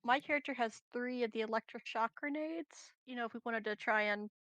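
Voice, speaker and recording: A woman's voice: a little high, fairly quick, a bit bright, dry recording. A woman chatting casually as a tabletop game player, tentative and self-deprecating. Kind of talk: casual chat.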